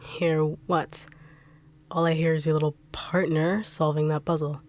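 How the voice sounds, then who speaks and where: A young woman voicing a blunt, sharp-tongued treasure-hunting bodyguard in an audio roleplay drama, brusque and mocking. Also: low voice, little pitch movement, unhurried.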